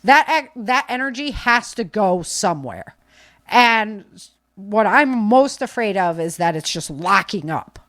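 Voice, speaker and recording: American woman doing a casual podcast, mid pitch, emphatic and chatty, really dry sound no room echo. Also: animated, unhurried, fairly bright tone. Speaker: podcast host. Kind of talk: casual unscripted podcast commentary.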